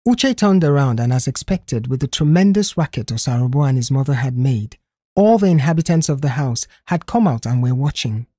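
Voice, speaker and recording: A woman's voice: extremely low pitch, animated, a little fast, warm tone, breathy edge, no room sound, studio quality. A woman reading scripted narration for an audio story.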